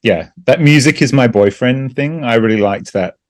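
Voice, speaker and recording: A man's voice: medium pitch, moderate pace, dry recording. A man from Australia with a mild Australian accent, a musician guest in an interview, speaking reflectively and hesitantly. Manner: reflective and hesitant, many fillers. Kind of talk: casual interview chat.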